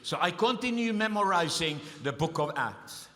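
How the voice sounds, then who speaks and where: A man's voice: high voice, animated, moderate pace, fairly bright tone. A man interpreting a sermon line by line, retelling it in an earnest, emphatic way. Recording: reverberant hall.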